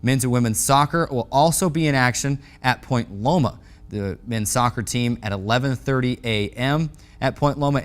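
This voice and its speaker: A man's voice: mid-range voice, smooth. A young man with an American accent, hosting and presenting sports news from a studio desk. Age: young adult. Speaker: sports show host.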